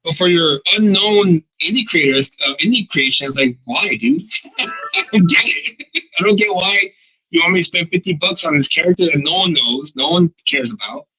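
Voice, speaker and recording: A man talking through a head cold, his voice stuffy and a little husky. He rants emphatically and rambles in a casual podcast chat, with a bright, forceful edge to the sound. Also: high-pitched, expressive, normal speed, dull audio. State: congested from a cold. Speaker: podcast co-host.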